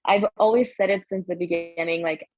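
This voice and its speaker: A woman's voice: fairly low pitch, steady tone, normal speed, no echo, lo-fi sound. A woman from Canada, a guest on an interview, talking frankly and directly with lots of "like". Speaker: guest athlete. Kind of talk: casual interview chat.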